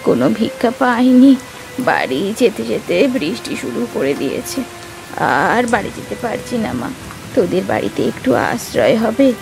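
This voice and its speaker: A woman's voice: on the high side, very animated, moderate pace, vocal fry, music in the background, poor audio. A woman voicing an old beggar woman who is secretly a kindly, stern goddess in a children's moral cartoon. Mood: pleading.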